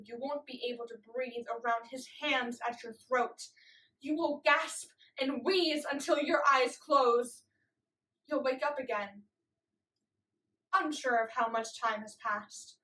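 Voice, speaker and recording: Young woman doing slam poetry, really high voice, dramatic pauses, sounds kinda far from the mic. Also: a bit bright. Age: young adult. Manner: dramatic, deliberate phrasing with emphatic pauses. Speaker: slam poet. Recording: voice distant from the mic.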